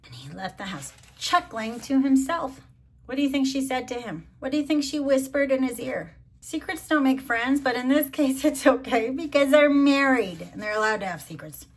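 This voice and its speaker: A middle-aged or older woman doing a storybook read-along, chatting to child listeners and gently asking them questions. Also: sits high, expressive, moderate pace. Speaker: grandmother reading to children.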